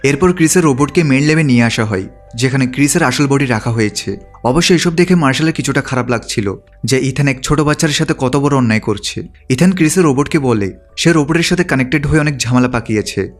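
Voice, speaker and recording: A man reading scripted narration that retells a film's story as a recap narrator. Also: medium pitch. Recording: background music under the voice. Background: music.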